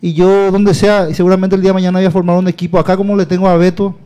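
A man who talks on and on in a rambling way, leaning hard on his points. His voice sits high, with a dark, mellow tone. He sounds like a local politician in casual campaign talk. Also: little pitch movement, very fast, clear, resonant tone, dull audio. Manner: rambling, emphatic, repeats himself.

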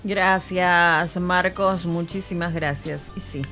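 A woman's voice: on the low side, steady tone, slow, over music. An Argentine woman hosting a radio call-in show, greeting callers by name in friendly casual chat.

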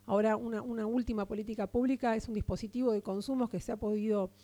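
An Argentine woman giving a radio interview, talking with earnest, steady conviction and often restarting her phrases. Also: a little high, fast. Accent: Argentine Spanish. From Argentina, Partido de la Costa. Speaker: interviewed guest, local political figure.

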